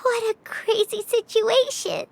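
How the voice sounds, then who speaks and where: A woman voicing a small, excitable fairy companion in an anime game, squeaky, childlike, earnest and emotional. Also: unhurried, creaky, heavily acted. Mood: distraught.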